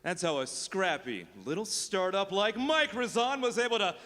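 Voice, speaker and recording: An actor playing an eccentric, big-talking billionaire boss in a live radio play. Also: male voice, high-pitched, lively intonation, normal speed, bright, forceful tone. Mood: breezy, boastful. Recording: echoey hall, live stage show.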